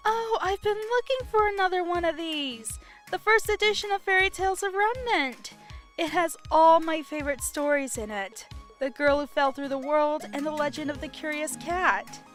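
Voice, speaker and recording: A woman playing a gentle rabbit girl, her voice soft and very high. She sounds sweet and bookish, with light music behind her. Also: moderate pace. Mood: delighted.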